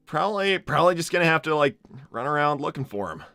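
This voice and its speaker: Man as a bright, impatient young hedgehog hero. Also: a little high, creaky, clear, resonant tone, no room sound, clean studio sound, exaggerated voice. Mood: resigned. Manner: stammering, rambling, casual exasperation. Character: cocky young male hedgehog hero, impatient but kindly. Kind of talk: improvised in-character livestream role-play. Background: music.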